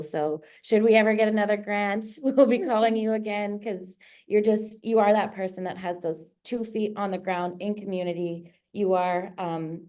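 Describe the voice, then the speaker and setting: A woman hosting an online launch event, thanking a guest in a relaxed, filler-heavy, chuckling way. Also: fairly low pitch. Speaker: host.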